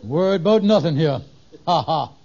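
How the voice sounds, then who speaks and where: An actor playing a blustering, hen-pecked middle-aged husband in an old radio sitcom, pompously muddling big words with folksy grammar. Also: male voice, fairly high pitch, lively intonation, normal speed. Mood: confident, pompous. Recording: noisy, muffled vintage radio recording.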